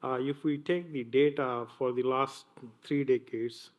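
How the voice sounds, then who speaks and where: A man's voice: mid-range voice, fairly even intonation, unhurried, highs cut off. A man speaking as a panelist, hesitant and searching for words. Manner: hesitant, many fillers and restarts. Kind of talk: panel discussion.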